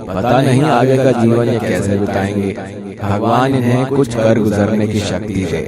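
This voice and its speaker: A man playing a kindly, sympathetic townsman in an animated moral story. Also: on the low side, normal speed, lightly acted. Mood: pitying. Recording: distant mic, loud crowd-like bed behind.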